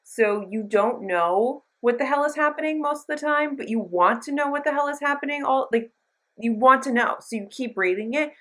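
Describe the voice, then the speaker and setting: A woman's voice: high-pitched, moderate pace, fairly bright tone. A woman hosting a book review channel, chatting casually to camera in a gushing, emphatic, informal way with mild slang.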